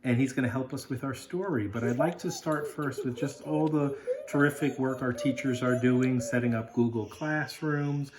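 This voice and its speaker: A woman's voice: extremely low pitch, normal speed, few pauses, crowd noise. A woman reading a storybook aloud as a parent, chatting with a small child and encouraging them with questions. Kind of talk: read-aloud storytelling with casual chat to a child.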